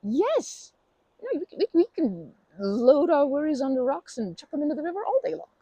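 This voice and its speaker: A woman playing a timid, kind young woman in an ASMR roleplay, stammering and rambling self-consciously in a soft, breathy voice. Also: high-pitched, big pitch swings, a little fast, no echo, muffled recording. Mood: excited, playful. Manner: stammering, self-deprecating, rambling with nervous giggles. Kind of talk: ASMR comfort roleplay, conversational acting.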